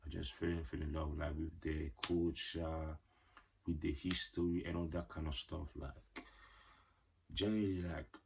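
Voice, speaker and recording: Very deep, gravelly young man rambling. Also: flat delivery, measured pace, background noise. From Ghanaian parents. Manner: rambling, heavy "like" and "you know" fillers; playful slangy asides. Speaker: vlogger. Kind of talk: casual personal story to camera.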